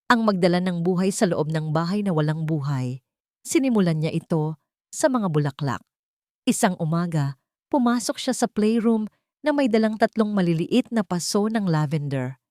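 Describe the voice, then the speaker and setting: A woman with a Filipino accent narrating a dramatic audiobook story in a measured, expressive way, phrase by phrase. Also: on the low side, no echo, clean studio sound.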